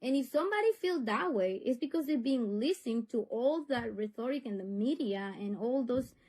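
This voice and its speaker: A woman's voice: on the high side, animated, moderate pace, warm tone. A middle-aged woman from Honduras, a native Spanish speaker with a Honduran accent, earnestly and insistently making her case in a serious conversation. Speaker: parent of a pupil.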